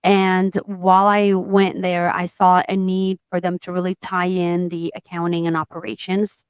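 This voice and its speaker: A woman in her forties, a tech founder from Portugal, telling her story in an interview in a steady, matter-of-fact way. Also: on the low side, normal speed, clean tone, no echo. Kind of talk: interview conversation.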